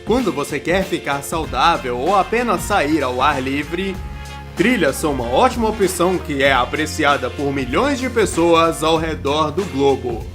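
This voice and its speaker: A Brazilian performer voicing a dull, long-winded online list-video narrator in a ponderous, flat way. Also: medium pitch, moderate pace, over music, voice pushed down, lightly acted. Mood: solemn, droning. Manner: ponderous, flat, padded delivery.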